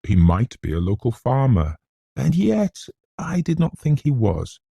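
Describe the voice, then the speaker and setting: A man with a British accent narrating a gothic story in a scholarly, measured, formal manner, like a classic audiobook. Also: mid-range voice, sing-song, creaky, mellow, husky, no room sound, clean studio sound.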